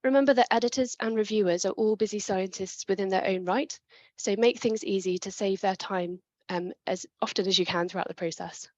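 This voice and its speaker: A woman presenting a webinar talk on writing papers, clear and methodical, with a chest infection coming on. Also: mid-range voice, brisk, darkish tone, no echo, lo-fi sound. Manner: clear, methodical, with small restarts.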